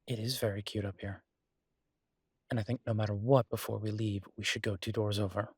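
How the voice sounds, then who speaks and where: A man playing a devoted, easygoing chef and reassuring partner in an intimate audio roleplay, speaking softly and haltingly with a husky voice. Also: lowish voice, fairly even intonation, no echo, studio quality. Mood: light, coaxing.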